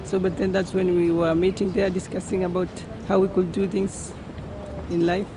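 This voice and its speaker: High, flat-voiced young man. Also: normal speed. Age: 20s. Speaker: youth coordinator. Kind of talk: documentary interview. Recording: outdoor street noise with passing traffic.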